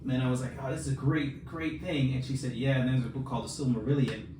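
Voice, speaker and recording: A man's voice: mid-range voice, steady tone, fast talker. A man with a mid-range voice co-hosts a casual podcast. He tells stories conversationally and acts out his own reactions as he goes. The room is very reverberant, with noticeable noise and the mic some way off. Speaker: podcast co-host. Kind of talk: casual chat about books. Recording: very echoey, noisy room, distant mic.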